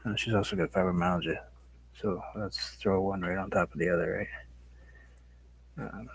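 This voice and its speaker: Raspy-voiced man chatting while painting. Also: low voice, steady tone, normal speed, rough recording. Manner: rambling, talks to viewers while working. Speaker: painting livestream host. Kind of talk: casual chat while painting.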